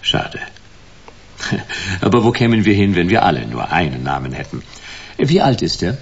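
An actor playing a wry, laid-back private detective who narrates a radio play in dry, clipped, ironic phrases. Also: male voice, deep voice, sing-song, moderate pace, gravelly, breathy edge, highs cut off, heavily acted. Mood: wry questioning. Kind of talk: radio play, first-person noir narration.

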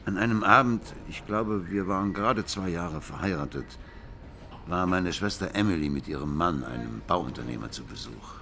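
An actor playing a brooding, jealous husband who recounts his marriage in a measured, reflective way in a radio play. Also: deep voice, creaky, sharp tone, a little hiss or hum, exaggerated voice. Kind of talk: radio play narration and dialogue. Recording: music behind the voice.

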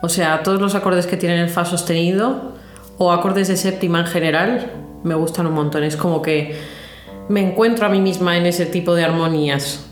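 Low-pitched Spanish woman in a roomy space. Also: fairly even intonation, moderate pace. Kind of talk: casual reflective conversation. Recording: roomy echo with music underneath. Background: music.

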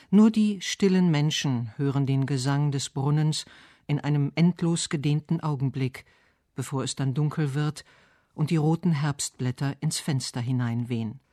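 A man reading literary narration and verse for a radio essay with measured, deliberate phrasing. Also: a little high, darkish tone, no echo, clean studio sound.

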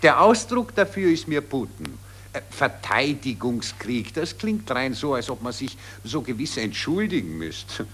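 Very varied intonation, sceptical upper-class Viennese grumbler, haughty, languid, affected, pompous, vintage 1940s radio play. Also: male voice, medium pitch, big pitch swings, measured pace, fairly bright tone, a little hiss or hum. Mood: blasé.

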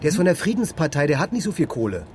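A cocky male amateur blackmailer in a radio crime play. He talks fast and pitches fairly high, with a sardonic, pushy edge. There is faint noise behind him. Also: sing-song, darkish tone. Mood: impatient. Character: cocky scheming male amateur blackmailer, urging his friend on. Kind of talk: naturalistic German radio crime comedy acting.

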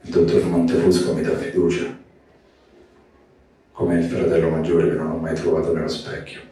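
A man giving a slow literary reading of poetic prose. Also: rumbling low, monotone, mellow. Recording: roomy echoing space, distant mic, faint noise.

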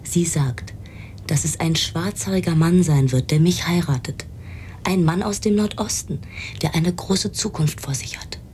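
Radio play role: actress as confident, superstitious rival in love, low voice, insistent, rumbling noise behind. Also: female voice, a little slow, darkish tone, close to an everyday voice. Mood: possessive, insistent. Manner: insistent, assertive. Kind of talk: radio drama acting.

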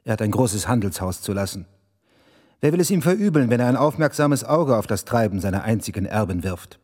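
A formal town clerk in a radio drama. His voice is on the low side. He delivers official messages in measured, respectful and ceremonious phrases. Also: lively intonation, darkish tone, breathy edge. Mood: solemn reporting. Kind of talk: German radio crime play acting.

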